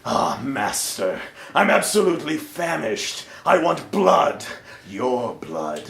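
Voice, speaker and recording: A man's voice: mid-range voice, huge pitch swings, slow pace, gravelly, fairly bright tone, roomy sound, pitched below the performer's own voice, exaggerated voice. A man voicing a menacing, devoted vampire count in a comic stage reading. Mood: hungry, seductive.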